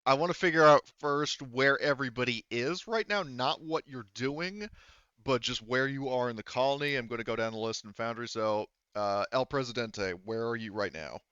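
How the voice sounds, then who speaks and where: A man casually running a tabletop game as game master, talking in a halting way and often rephrasing as he explains. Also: mid-range voice, pushed and bright, clear, resonant tone, no echo, lo-fi sound.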